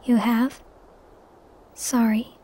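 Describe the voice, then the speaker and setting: An actress playing a timid, sorrowful teenage girl in a video game, speaking softly and hesitantly. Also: fairly high pitch, little pitch movement, extremely slow. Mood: sad, reluctant. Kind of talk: video game cutscene voice acting.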